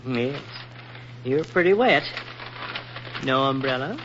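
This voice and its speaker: A solicitous, folksy grocer in a 1940s radio play. He speaks slowly with a very varied melody, on a muffled and noisy old recording. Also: medium pitch, animated. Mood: businesslike, friendly. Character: neighborly, unhurried male grocer, fussing kindly over customer. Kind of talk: 1940s radio drama acting.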